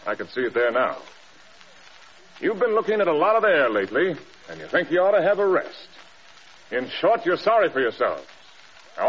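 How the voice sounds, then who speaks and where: An actor with an American accent playing a stern, blunt air force general in an old-time radio drama. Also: male voice, a little high, a bit bright, full character voice. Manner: commanding, clipped, blunt. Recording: dull old radio recording, clear noise.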